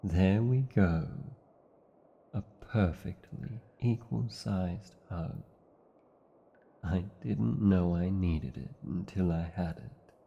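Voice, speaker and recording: A deep, very mellow British dragon played by a man speaks as a proud, tender lover in a fantasy roleplay. His phrasing is slow, courtly and intimate. The recording is slightly muffled. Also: creaky, breathy edge, exaggerated voice. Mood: awed, tender. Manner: formal, archaic, theatrical phrasing with tag questions.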